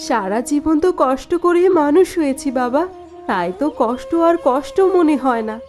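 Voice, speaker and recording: A woman voicing a soft, dutiful young bride. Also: sits high, expressive, normal speed, soft background music. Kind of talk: cartoon voice acting.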